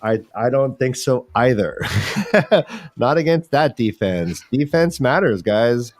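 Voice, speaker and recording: A man's voice: medium pitch, animated, slow pace, no room sound, studio quality. A man with a mid-range voice hosts a sports livestream. He talks casually and colloquially, with a joking streak, and speaks straight to his listeners and co-hosts. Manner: jokey, colloquial, addresses listeners directly. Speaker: host. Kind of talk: casual fantasy football livestream chat.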